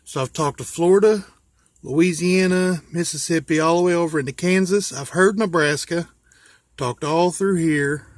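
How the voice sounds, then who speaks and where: An American man from West Virginia talking casually and informally as he shows things, pausing to think aloud. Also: a little high, no echo, clean studio sound. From West Virginia, USA. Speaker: hobbyist vlogger. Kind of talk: casual show-and-tell.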